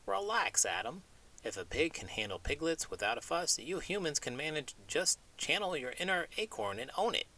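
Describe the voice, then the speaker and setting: A man's voice: on the high side, very animated, normal speed, bright, forceful tone, voice pushed up, heavily acted. A man voicing a cocky, carefree talking pig with a boastful, teasing manner in a children's show. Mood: teasing, breezy.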